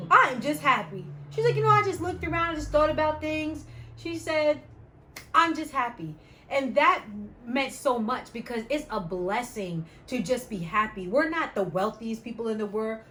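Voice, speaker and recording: A woman's voice: high voice, bright, forceful tone, a little hiss or hum. A woman in her thirties hosting a casual chat with her family, talking straight to her viewers. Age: 30s. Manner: chatty, talks to viewers as y'all. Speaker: vlog host. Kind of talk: casual chat while eating.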